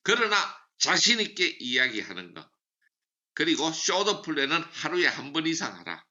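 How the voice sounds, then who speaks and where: A man's voice: a little high, big pitch swings, moderate pace, sharp tone, dull audio. A man giving a motivational sales training lecture, emphatic and repeating key slogans. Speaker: seminar speaker for a sales business.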